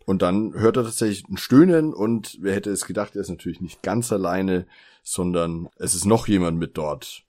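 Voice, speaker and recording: A man's voice: low-pitched, moderate pace, dark tone. A man co-hosting a podcast in casual, teasing conversation, often chuckling. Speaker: podcast co-host.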